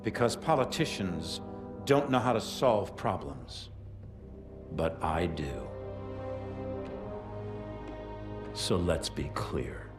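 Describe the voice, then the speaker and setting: A man playing an arrogant, menacing corporate military chief who gives a formal, defiant speech in a deliberate, commanding way. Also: low voice. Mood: cold, defiant. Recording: cinematic music behind.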